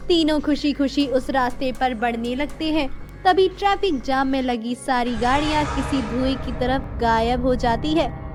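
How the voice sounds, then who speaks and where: A woman's voice: sits high, measured pace. A woman storyteller giving a scripted narration of a horror story. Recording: music under the voice.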